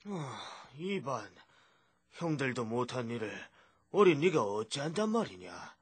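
Husky, animated old king. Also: medium pitch, unhurried, no room sound. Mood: solemn, resigned. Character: elderly king father, anxious and stern. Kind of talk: children's puppet theatre acting.

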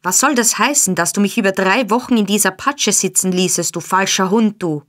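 Brutal male burglar, blustering and demanding, voiced by a woman narrator, raised and loud. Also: mid-range voice, brisk, close to an everyday voice. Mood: angry. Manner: blustering, accusing. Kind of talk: audiobook character voice.

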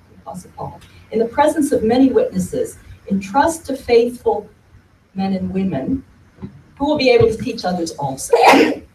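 A woman's voice: medium pitch, a little mellow. A woman giving a conversational talk from a lectern to an audience in a meeting room, often repeating words as she goes. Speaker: speaker giving a history talk. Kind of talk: presentation at a lectern. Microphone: far camera at the back of the room. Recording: roomy hall from far off, faint hum.

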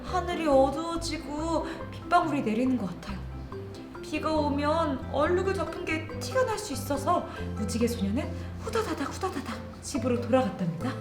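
A woman storyteller narrating for children in an expressive, sing-song way with drawn-out words. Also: sits high. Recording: echoey room with music behind.

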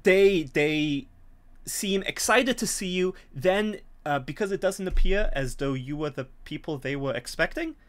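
A man's voice: on the high side, expressive, measured pace, fairly bright tone. Middle-aged man running a tabletop game. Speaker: game master. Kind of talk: explanatory tabletop scenario narration. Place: small home room, on a video call.

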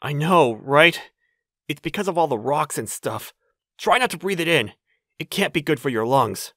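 An actor playing a friendly, frail young debt laborer, gentle and resigned, in an anime dub. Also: male voice, a little high, expressive, normal speed, no room sound, clean studio sound. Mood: resigned, kindly.

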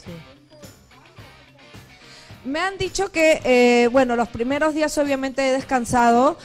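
A high-pitched woman in her thirties chats in a lively, storytelling way on a live outdoor TV link. She speaks into a handheld mic on a street. A faint music bed runs underneath. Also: unhurried. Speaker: TV show host on a live remote link. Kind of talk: casual live TV chat.

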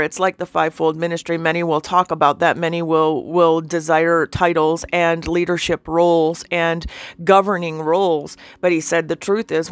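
A woman with a nasal, sinusy voice giving an informal spiritual teaching. She talks earnestly and keeps checking in with the listener. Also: on the low side.

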